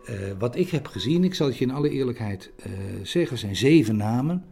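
A man speaking haltingly and hesitantly as a documentary interviewee. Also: mid-range voice, lively intonation, darkish tone, slightly rough. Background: faint music.

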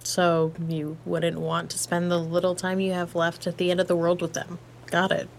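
Actress as a cheeky slangy woman, lowish voice, flippant, audio drama, noisy ambience behind her. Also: completely flat delivery, moderate pace, close to an everyday voice. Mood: casual, thoughtful. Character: brash, cheeky, casual female app user. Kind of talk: naturalistic audio drama dialogue. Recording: noticeable background noise and ambience.

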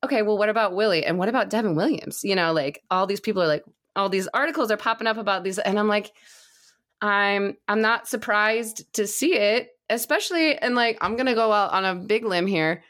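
A woman's voice: medium pitch, a little fast, a bit bright. A woman chatting casually and enthusiastically as a co-host of a baseball fan podcast. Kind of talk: casual chat.

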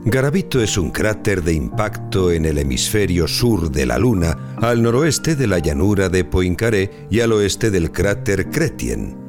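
An actor playing a calm, obliging spaceship artificial intelligence in an audio drama, explaining things in a formal, measured way. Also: male voice, low voice, over music, heavily acted. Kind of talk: audio drama acting, measured informative delivery.

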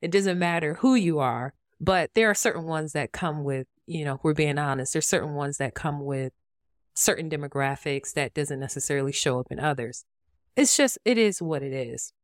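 Woman, podcast host, raspy voice, lower pitch, casual chatty monologue, dry sound. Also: moderate pace, no echo. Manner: chatty and conversational, with frequent fillers and asides. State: raspy from allergies.

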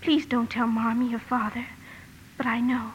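An actress playing a frail, gentle, sickly young sister in a 1950s radio drama, her voice soft and breathy. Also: on the high side, flat delivery, normal speed, highs cut off, close to an everyday voice. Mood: resigned, tender. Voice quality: soft, breathy, half-whispered. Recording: reverberant, slightly noisy old radio broadcast.

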